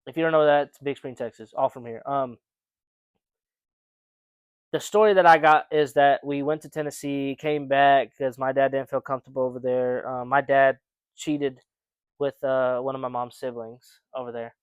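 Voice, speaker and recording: A man's voice: mid-range voice, steady tone, moderate pace, smooth, dry recording, lo-fi sound. A young man co-hosting a casual podcast chat, rambling with lots of fillers and restarts. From United States. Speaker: podcast co-host.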